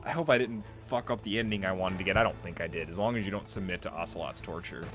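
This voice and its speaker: A man's voice: low voice, fast talker, music in the background. A man giving casual, jokey let's play commentary on a video game, prone to goofing around. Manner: jokey, breaks into silly singing and goofing.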